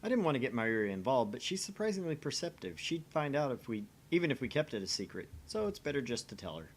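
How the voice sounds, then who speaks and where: A young mad-scientist type played by a man, grandiose and theatrical. His mid-range voice moves widely in pitch, suited to visual novel declarations and introspective narration. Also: sing-song, quick pace, slight background noise, close to an everyday voice. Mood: reflective narration. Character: theatrical, pompous young self-styled mad scientist, inwardly anxious.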